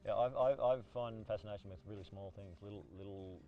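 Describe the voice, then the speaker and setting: An Australian man chatting casually in an interview, laid-back and halting, with chuckles between phrases. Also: on the low side, distant mic, lo-fi sound. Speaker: island guide and ranger being interviewed. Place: outdoors on a lake beach.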